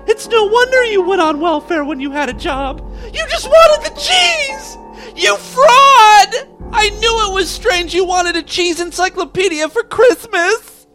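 A man voicing a shrill, outraged wife with a shrieking, wailing manner. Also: expressive, measured pace, music in the background. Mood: tearful outrage.

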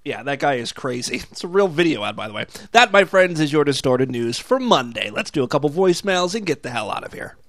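A man's voice: a little high, sing-song, fairly quick, hardly any pauses, a bit bright. Mocking, crude comedy podcast host man. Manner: crude, mocking, laughs at own jokes. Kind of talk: comedic news and voicemail show.

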